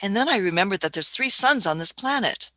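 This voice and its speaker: A woman's voice: medium pitch, expressive, a little fast, bright, forceful tone. A woman voicing an elderly female time traveler in a fan radio play, shrewd and fussy, often hesitating with "uh" and "hmm".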